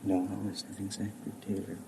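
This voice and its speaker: A man competing on a 1950s radio quiz, thinking his answers out loud. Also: deep voice, completely flat delivery, fast. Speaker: quiz contestant. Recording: noisy 1950s radio recording.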